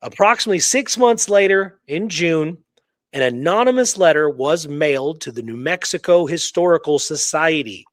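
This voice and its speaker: A man's voice: on the high side, lively intonation, normal speed, no room sound. Man in casual, emphatic history talk, dry sound. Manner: conversational, emphatic, often checks with "right?". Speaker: podcast co-host. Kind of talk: casual history discussion with some reading aloud.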